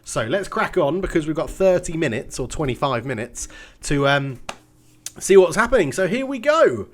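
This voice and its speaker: A man's voice: on the high side, big pitch swings, normal speed. Stuffy-nosed man chatting about a game. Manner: chatty and rambling, self-mocking. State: nasal and congested from a cold. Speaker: game commentator. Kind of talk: casual gaming commentary.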